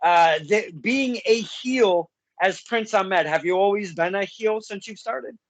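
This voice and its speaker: A man's voice: high-pitched, unhurried, dry recording. A man hosting a podcast, asking questions in a casual, chatty way with frequent laughs and asides to listeners. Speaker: podcast host. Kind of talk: casual interview.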